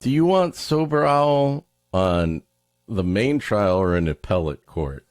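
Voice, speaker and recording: A man's voice: lowish voice, dry recording, clean studio sound. A man co-hosting a podcast, mixing story reading with wry, casual comic riffs. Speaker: podcast co-host.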